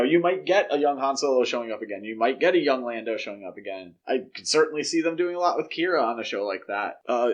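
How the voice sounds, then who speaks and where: A man's voice: mid-range voice, highs cut off. A man co-hosting a podcast, discussing film news casually in a speculative, lightly joking way. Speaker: podcast co-host.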